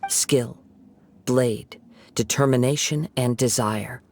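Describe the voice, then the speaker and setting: A man playing a calm, reflective young wandering swordsman in a video game, speaking in a composed, poetic way. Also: medium pitch, slow. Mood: calm, resolute.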